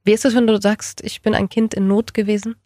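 A woman's voice: mid-range voice, little pitch movement, fairly quick, dark tone, no room sound, studio quality. A woman hosting an interview, asking friendly, probing questions and steering the talk. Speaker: host.

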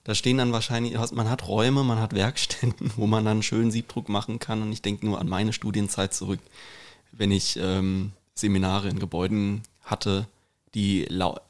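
A man's voice: fairly low pitch, level tone, normal speed. A man co-hosting a casual podcast chat, talking in a rambling, wry way. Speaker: podcast co-host.

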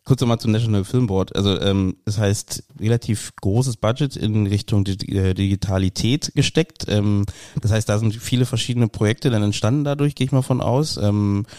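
Casting: male interview podcast host, informal and talkative, low-pitched, close dry sound. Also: normal speed, hardly any pauses, dark tone, dry recording. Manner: informal, chatty, thinks aloud and rephrases questions. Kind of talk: casual conversational podcast interview.

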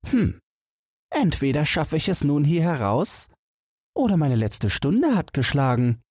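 A man's voice: on the high side, very animated, a little slow, darkish tone, husky, no room sound, clean studio sound, pitched above the performer's own voice, heavily acted. A man voicing a polite, plucky young giant-slayer in a fairy tale audiobook, confident and courteous. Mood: pondering.

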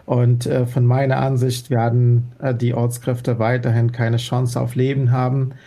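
Earnest man, gravelly low-key voice. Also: little pitch movement, unhurried, warm tone. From Afghanistan. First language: Dari. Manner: earnest, many hesitation fillers. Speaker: guest, former interpreter. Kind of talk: radio interview answers.

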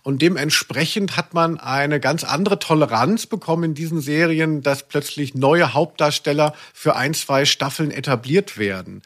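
Slightly high-voiced German man, casual podcast host. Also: normal speed, hardly any pauses, a bit bright. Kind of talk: casual humorous chat.

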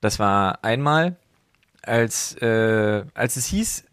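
A man's voice: lowish voice, expressive, takes it slowly, fairly bright tone, clean tone, dry recording. A man talks casually, as if to a friend. His phrasing is colloquial and blunt, and the sound is close and dry, with no room to it. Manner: colloquial, slangy, blunt with swearing. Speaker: podcast co-host. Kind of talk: casual chat between friends. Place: dry, close-miked recording.

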